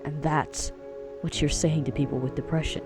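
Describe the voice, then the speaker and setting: A man's voice: a little high, level tone, moderate pace, over music, lightly acted. A man playing an earnest, supportive young friend in an audio drama, speaking with emphatic, reassuring phrasing. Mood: impassioned.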